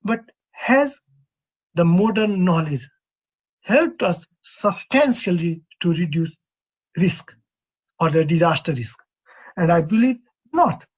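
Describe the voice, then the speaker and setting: Husky Nepali-accented man presenting. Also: high-pitched, expressive. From East Nepal. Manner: measured, earnest, poses questions to the audience. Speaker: earthquake engineer presenting at a convention. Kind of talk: prepared conference presentation.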